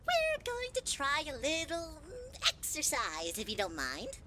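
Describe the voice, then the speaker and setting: A sickly-sweet flower creature purring threats in a bright, high, swooping singsong. He drawls slowly and mocks with false kindness. A faint hiss of noise sits behind him in this fan audio drama. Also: huge pitch swings, exaggerated voice. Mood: sugary. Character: sugary, sinister male flower creature, manipulative.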